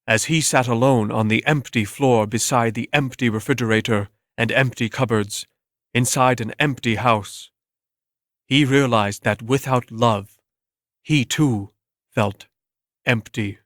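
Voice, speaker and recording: Male storyteller, medium pitch, scripted dramatic narration, very dry close recording. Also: steady tone, measured pace, fairly bright tone, no room sound.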